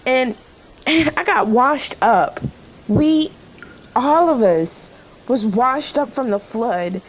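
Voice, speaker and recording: A woman's voice: fairly high pitch, lively intonation, slow pace, slight background noise, low-quality recording. A woman playing an overworked, devoted diner worker in a radio play, impassioned and hurt, piling up rhetorical questions. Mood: bitter, wistful.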